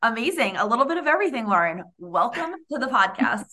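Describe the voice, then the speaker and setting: A woman's voice: fairly high pitch, sing-song, quick pace, sharp tone. A woman hosting a podcast interview, upbeat and enthusiastic as she introduces and chats with her guest. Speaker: podcast host.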